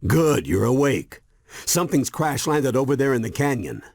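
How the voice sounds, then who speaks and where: A man voicing a steady, serious older grandfather mentor in an animated game, grave and authoritative. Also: medium pitch, normal speed, noisy background. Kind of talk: animated video game voice acting.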